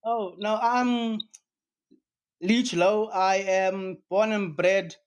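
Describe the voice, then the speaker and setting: A man's voice: high voice, fairly even intonation, very slow, clean tone. A South African man answering interview questions as a business co-founder, hesitant and filling gaps with "um" over a call line. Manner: hesitant, many fillers and restarts.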